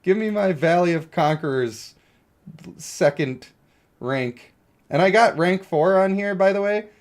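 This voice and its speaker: A man's voice: high voice, measured pace. A man giving casual, opinionated livestream commentary on a mobile game, blunt and given to exasperated ranting. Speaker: game streamer.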